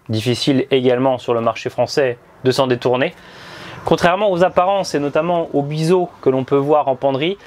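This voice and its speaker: A man in his thirties presenting a scripted motorhome review on a lapel mic, with precise, presenter-like delivery. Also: medium pitch. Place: inside a motorhome, then outdoors by the roadside.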